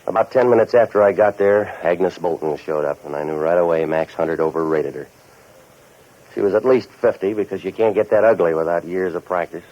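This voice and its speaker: An actor playing a cynical, tough-guy hired investigator in a 1940s radio noir, deadpan and sardonic as he talks and narrates. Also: sits low, a little fast, close to an everyday voice. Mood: wry, world-weary narration. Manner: deadpan, sardonic, hard-boiled. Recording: muffled 1940s radio recording.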